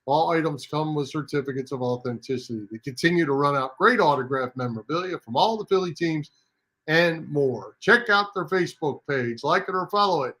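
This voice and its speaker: A man's voice: fairly high pitch, animated, a little fast, sharp tone. A man hosting a sports radio show in a casual, emphatic way, often repeating his phrases.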